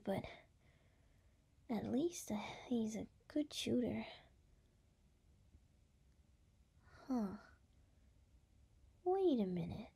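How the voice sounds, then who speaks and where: Woman acting a slow, breathy, brash young gal. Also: on the high side, mellow, full character voice. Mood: exasperated. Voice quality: breathy, often dropping into whispers. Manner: halting, teasing, exasperated. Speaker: voice actress. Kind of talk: ASMR roleplay.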